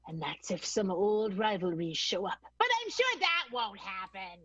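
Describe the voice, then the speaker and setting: A woman's voice: medium pitch, sing-song, moderate pace, muffled recording, natural voice. A woman acting a determined, passionate former showgirl in an improvised radio soap, earnest and declamatory. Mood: earnest confidence.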